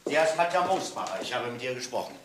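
A man playing a stern, methodical police inspector in a German radio crime drama, speaking emphatically and deliberately. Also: medium pitch, animated, noisy background, lightly acted. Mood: explaining firmly. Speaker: actor in a radio crime play.

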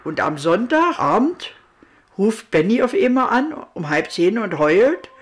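A woman's voice: medium pitch, very animated, unhurried. An older woman giving a spontaneous interview, recalling events in plain, short phrases. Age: older, grandmother. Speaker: interviewee, relative of missing man. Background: guitar music.

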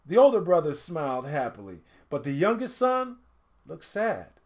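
A middle-aged man reading a picture book aloud in an animated, dramatic storytelling style, pausing to speak to listeners. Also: fairly high pitch. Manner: expressive, dramatic swells and hushed asides to listeners. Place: indoor home studio room.

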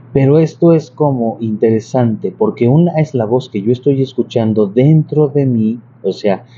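A man with a low, very dark and mellow voice teaches informally. He talks in a chatty, halting way, drifting into asides. The recording is muffled, with clear noise behind him. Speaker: church organist and psalm-singing teacher. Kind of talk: informal video lesson with casual digressions. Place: large bright interior beside an organ.

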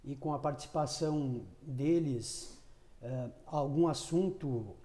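Hesitant male interviewer, somewhat high. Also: slow, warm tone. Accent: southern Brazilian Portuguese. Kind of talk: interview questions.

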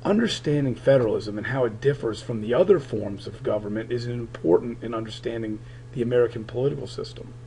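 A man records a lecture over his slides, his voice raspy and gravelly while it recovers. The tone is dark and mellow, and he talks in a halting way with many fillers. A faint hum sits behind the computer mic. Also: medium pitch, low-quality recording. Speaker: political science teacher.

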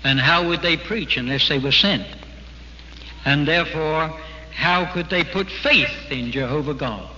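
A man's voice: a little high, unhurried, pushed and bright, a little hiss or hum. A man giving an earnest, exhorting sermon at a religious convention. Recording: dull archival recording in a big hall.

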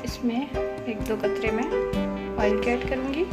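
A woman's voice: fairly high pitch, fairly even intonation. Pakistani woman narrating a cooking video. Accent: Pakistani Urdu. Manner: unhurried, repeats "theek hai" and "friends" while narrating steps. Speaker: cooking channel host. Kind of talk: voice-over cooking demonstration. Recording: loud music under the voice. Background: loud background music and frying sounds.

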